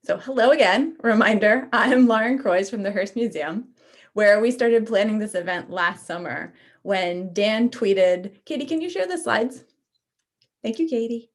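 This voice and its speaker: A woman's voice: medium pitch, animated, unhurried. A woman from a museum staff moderating a lecture event, speaking politely and a little self-deprecatingly.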